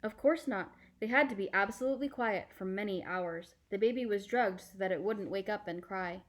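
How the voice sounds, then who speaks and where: Woman reading as a calm, reassuring storybook uncle. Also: mid-range voice, close to an everyday voice. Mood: matter-of-fact. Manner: measured, explanatory, reassuring. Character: calm, reassuring adult uncle, a brave fisherman.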